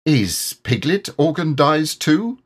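A man voicing a humble, slow-witted, amiable toy bear in a children's storybook, speaking in a hesitant, earnest way. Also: on the high side, very animated, voice pushed up, heavily acted. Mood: puzzled, earnest. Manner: hesitant, ponderous, simple and earnest.

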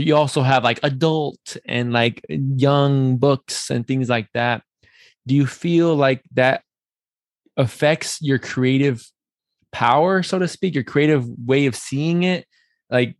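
A man's voice: medium pitch, no room sound. Casual male podcast host in a dry room. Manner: playful, joking, rambling questions. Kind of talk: casual chatty interview.

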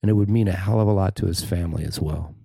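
A man's voice: deep voice, quick pace, gravelly, warm tone, slightly rough, no room sound, studio quality. A man narrating a scripted news feature as its writer and reader.

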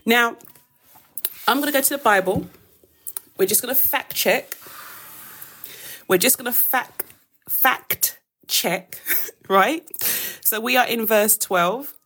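A woman with a croaky voice hosting a live daily devotional, praying and then teaching a Bible verse in a casual, chatty way. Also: fairly high pitch, slow, pauses often, a bit bright. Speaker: devotional host.

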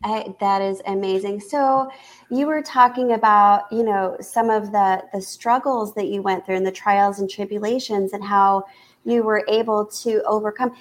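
A woman's voice: mid-range voice, fairly even intonation, moderate pace. A woman from Kansas hosting a podcast, asking questions and responding in an enthusiastic, affirming way. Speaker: podcast host. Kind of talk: casual interview conversation.

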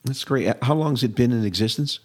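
A man's voice: mid-range voice, fairly quick. A man co-hosting a podcast, introducing the guest and asking questions in a friendly, partly scripted manner. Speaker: podcast co-host. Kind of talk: interviewer.

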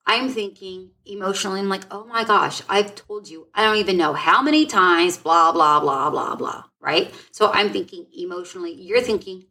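A woman's voice: medium pitch, moderate pace, few pauses, sharp tone. A woman co-hosting a casual podcast, chatty and laughing often, restarting her sentences as she thinks aloud. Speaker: podcast co-host.

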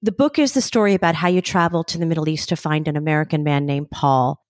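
A woman with a slightly low voice hosts an interview show, asking eager questions and offering warm compliments. Her voice is recorded dry and close, with no room sound. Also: fast talker, no room sound. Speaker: podcast host.

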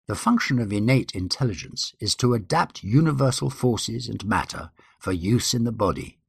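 Deep-voiced man reading formally, husky edge. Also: no room sound, clean studio sound. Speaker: guest reader. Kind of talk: formal scripted reading of numbered principles.

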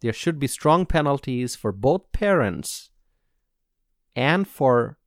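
A man from Bangladesh hosting a solo podcast, giving earnest, measured commentary on public policy and repeating his points for emphasis. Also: medium pitch, big pitch swings, dry recording, studio quality. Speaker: podcast host.

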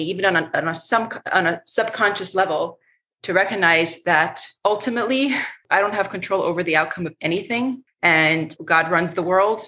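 A woman co-hosting a podcast who talks reflectively and often pauses to search for the right words. Her voice sits a little low. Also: a bit bright. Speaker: podcast co-host. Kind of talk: casual conversation.

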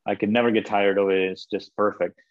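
A man from Spain chats as a podcast guest, stopping and restarting his sentences as he warms to the topic. His voice sits low. The recording is muffled and lo-fi. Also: little pitch movement. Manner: halting, many restarts, enthusiastic about music. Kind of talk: casual interview conversation.

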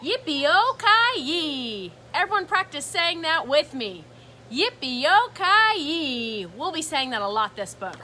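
Lady reading a kids rhyming book, really high bright voice, sing-song, bit of background noise. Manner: animated, sing-song, drawn-out exclamations and gasps; engaging listeners with asides and prompts. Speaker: teacher reading a picture book aloud to children. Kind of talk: rhythmic storybook read-aloud.

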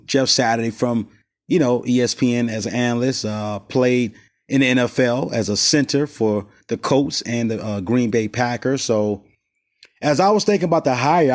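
A man hosting his own sports podcast in a loose, rambling way, voice raspy while he recovers from a stomach virus, recorded on a cell phone outside his studio. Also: mid-range voice, a little mellow. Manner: loose, rambling, many fillers and restarts. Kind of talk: casual sports talk. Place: not in the studio.

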